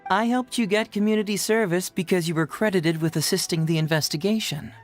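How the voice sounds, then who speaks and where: Young male detective for a game , male actor: breezy, smooth-talking needler, quick-witted and polite. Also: high voice, soft background music, natural voice. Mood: teasing. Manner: playfully needling, polite and friendly. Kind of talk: video game voice acting.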